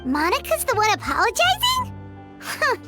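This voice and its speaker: A woman voicing an excitable, blunt, childlike little floating fairy in a video game, with a squeaky voice. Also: moderate pace, loud music behind the voice, heavily acted. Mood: indignant. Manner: refers to self in third person, exclamatory.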